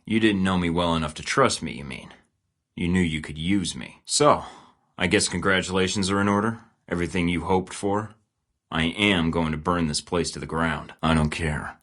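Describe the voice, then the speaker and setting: A man's voice: very deep voice, a bit bright. An actor playing a blunt, sarcastic male spy agent in video game dialogue, with dry, clipped lines.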